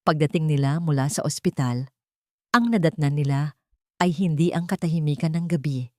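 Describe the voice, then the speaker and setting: Filipino lady reading a dramatic audiobook, lowish voice, really dry sound. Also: darkish tone, no room sound. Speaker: audiobook narrator. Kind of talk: dramatic scripted story narration.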